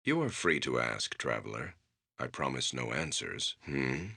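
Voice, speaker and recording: An actor playing a stern, proud veteran battle priest in a fantasy game, with a deep, raspy voice and terse, declamatory speech. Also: male voice, big pitch swings, a little slow, sharp tone, exaggerated voice. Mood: guarded, stern.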